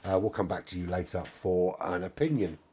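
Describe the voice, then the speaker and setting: A middle-aged British man with a hoarse voice who is losing it, commentating a live sim race in a chatty, rambling way with casual swearing. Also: low-pitched, a little fast, noisy background.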